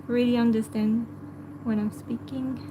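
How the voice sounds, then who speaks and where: A woman with a French accent, a native French speaker, hosting a casual livestream and answering viewers in a hesitant way full of fillers, sometimes talking softly to her pet. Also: on the high side, flat delivery, unhurried, noisy background.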